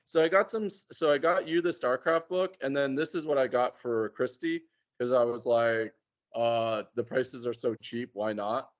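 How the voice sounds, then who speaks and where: A man in casual conversation, talking in a hesitant, halting way and often repeating words. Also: medium pitch. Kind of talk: casual chat. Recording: muffled, dull lo-fi sound.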